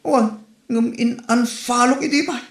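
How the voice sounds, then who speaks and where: A woman's voice: a little high, fairly even intonation, unhurried, roomy sound, natural voice. A woman with a Filipino Ilocano accent acting an incredulous, mocking village gossip in a comedy drama.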